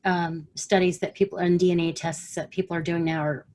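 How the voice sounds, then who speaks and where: A woman's voice: lowish voice, flat delivery, fairly quick, a little mellow, slight background noise. A woman presenting an online study webinar, reading passages steadily and moderating the discussion. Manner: reads passages steadily, then moderates the call.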